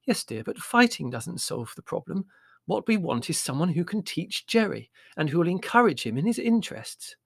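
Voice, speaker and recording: Storybook mother, played by a man: middle-aged, lifted pitch, gentle, hesitant, soothing and a little vague. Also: very animated, moderate pace, vocal fry, husky, dry recording, clean studio sound, exaggerated voice. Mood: placating. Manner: hesitant, placating, mildly doubtful. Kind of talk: audiobook character voice.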